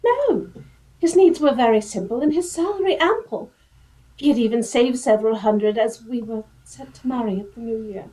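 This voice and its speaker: A woman's voice: on the high side, lively intonation, normal speed, mellow, natural voice, low-quality recording. A woman acting a grieving, loyal young lady in a radio drama, earnest and formal. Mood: hesitant, confiding.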